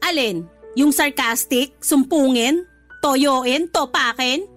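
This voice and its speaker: A woman's voice: high-pitched, slow, fairly bright tone, faint music behind. An actress playing a blunt, scolding older sister who is a mother. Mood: scornful.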